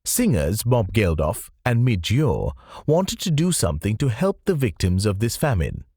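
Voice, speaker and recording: A man reading scripted nonfiction audiobook narration in a measured, explanatory way. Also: on the low side, big pitch swings, warm tone, husky, dry recording, clean studio sound.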